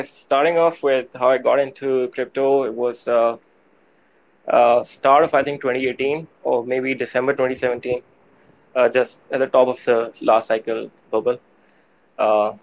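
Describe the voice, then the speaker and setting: A man with a Pakistani accent, speaking English as a second language, tells his story haltingly as a guest on a live audio show. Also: mid-range voice, gravelly, a little hiss or hum, low-quality recording. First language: not English. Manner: halting, hesitant, frequent hedging. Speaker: guest caller.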